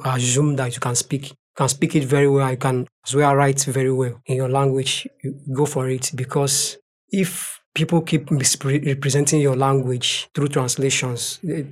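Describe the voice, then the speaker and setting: A man from Nigeria with a Nigerian accent, a native speaker of Igbo and Nigerian Pidgin, answering interview questions as a translator and repeating points for emphasis. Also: mid-range voice. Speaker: translator, podcast guest.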